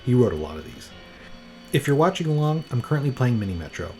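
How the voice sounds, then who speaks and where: A man's voice: mid-range voice, big pitch swings, fast talker, dark tone. A man hosting a podcast with scripted, playful narration in a wry, tongue-in-cheek manner. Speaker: podcast host. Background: music.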